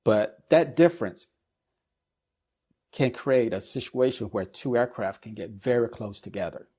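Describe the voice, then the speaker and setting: A middle-aged man speaking as an expert interview guest, explaining things in a measured, deliberate way with pauses between phrases. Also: mid-range voice, animated, mellow, husky. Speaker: guest expert, air traffic controller and business executive.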